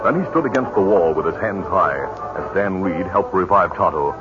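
A man giving measured, dramatic scripted narration for a 1950s radio western. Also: low-pitched, animated, loud music behind the voice. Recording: muffled old radio broadcast with hiss.

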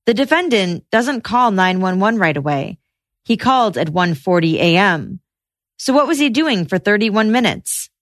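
A woman podcast host narrating trial news in a measured, reportorial way. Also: medium pitch, big pitch swings, no room sound, clean studio sound.

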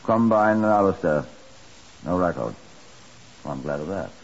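An actor playing a shrewd, courteous chief inspector in a 1950s radio crime drama, husky and measured as he questions and narrates. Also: low voice, animated. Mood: grave, official. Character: shrewd, courteous Scotland Yard chief inspector telling his case. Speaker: police detective and narrator. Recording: muffled 1950s radio broadcast.

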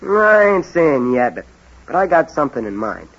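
A man's voice: a little high, sing-song, moderate pace, a bit bright, breathy edge, exaggerated voice. An actor playing a cocky, evasive seventeen-year-old boy in an old-time radio drama, with a slangy, offhand, defiant delivery. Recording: dull old radio broadcast recording.

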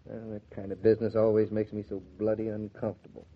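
A man's voice: lowish voice, monotone, quick pace, natural voice. A man acting in a 1940s radio drama as a good-natured young newlywed husband, dry, understated and teasing. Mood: irritated. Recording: dull, faintly noisy 1940s radio recording.

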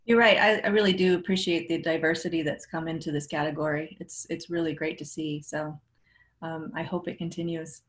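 A woman's voice: sits low, fairly even intonation, brisk, slight background noise. A woman moderating an online panel, giving brief, polite introductions.

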